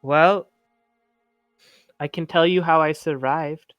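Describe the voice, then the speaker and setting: A man playing a timid, polite blind orphaned teenage boy, a haunted survivor who talks in halting stammers, in a tabletop roleplaying game. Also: fairly high pitch, fairly even intonation, a bit bright, clean tone, no echo, highs cut off, faint music behind. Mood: nervous, guarded.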